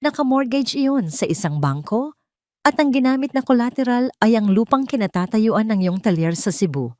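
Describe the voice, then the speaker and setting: A woman voicing a calm, protective male lawyer in an audio drama, measured, formal and earnest. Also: fairly high pitch, no room sound, clean studio sound, pitched above the performer's own voice, natural voice. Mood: grave, urgent. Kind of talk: Tagalog audio drama dialogue.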